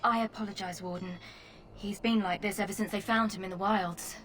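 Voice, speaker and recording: A brisk female camp guard, voiced by a woman speaks in a curt, formal manner. She sounds businesslike and keeps order without fuss. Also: mid-range voice, fairly even intonation, a bit bright, a little hiss or hum, lightly acted. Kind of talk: video game voice acting.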